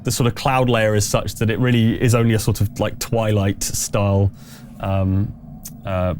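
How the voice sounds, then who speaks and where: A man's voice: fairly low pitch, level tone, normal speed, darkish tone, a little hiss or hum. Conversational man narrating as game master. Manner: conversational, explanatory, hesitant phrasing. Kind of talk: improvised tabletop game narration.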